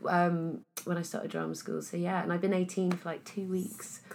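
A woman in her thirties from Wales, an actress chatting casually in an interview, her voice a bit husky and hoarse today, with many fillers and restarts. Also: lowish voice, little pitch movement, moderate pace, a little mellow, a little hiss or hum. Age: 30s.

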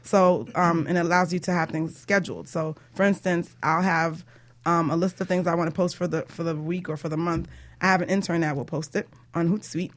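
A woman's voice: fairly low pitch, fairly even intonation, fairly quick. A woman hosting a talk show in a casual, chatty style. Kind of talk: casual chat.

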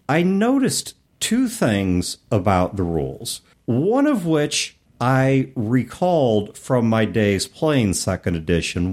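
A man's voice: medium pitch, darkish tone. A man co-hosting a casual gaming discussion, explaining things with rhetorical questions and asides. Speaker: podcast co-host.

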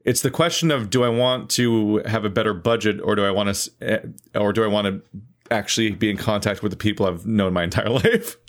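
A man's voice: on the low side, steady tone, fairly quick. A man from California, chatting casually in a group, rambling with many restarts.

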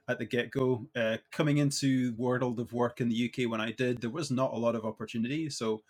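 A middle-aged man from Scotland with a Scottish accent, answering interview questions thoughtfully, with many fillers and restarts. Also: medium pitch. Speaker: guest.

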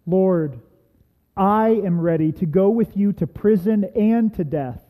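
A man acts out a fervent, devoted disciple in a dramatic scripture reading. The voice is high and unhurried. It sounds thin, like a phone line, with long hall reverb.

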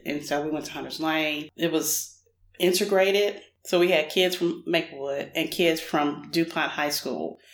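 A middle-aged woman from Nashville, a podcast guest, answering in a candid, casual way with many fillers. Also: low voice. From Nashville, Tennessee. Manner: frequent fillers, thoughtful and candid. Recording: roomy echo.

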